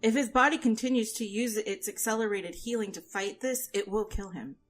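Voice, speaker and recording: A woman playing a weakened but composed healer in an audio drama, speaking in a measured, instructing way. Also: medium pitch, close to an everyday voice. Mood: grave, quiet. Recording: music under the voice.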